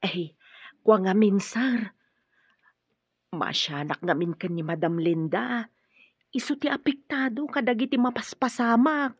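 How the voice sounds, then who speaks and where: Nervous maid, breathy voice, deferential, nosy, radio drama, muffled dry audio. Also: female voice, mid-range voice, measured pace, pauses often, no room sound. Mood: hesitant, curious. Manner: hesitant, deferential. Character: timid but nosy female housemaid, respectful.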